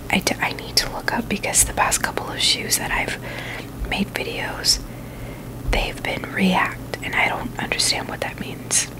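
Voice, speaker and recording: Slow, whispering woman ASMR host. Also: rough recording. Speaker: video host reviewing sneakers.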